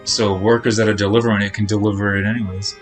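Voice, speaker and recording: A man's voice: fairly low pitch, flat delivery, fast talker. Rambling male game streamer, music behind. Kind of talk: casual rambling stream chat while playing.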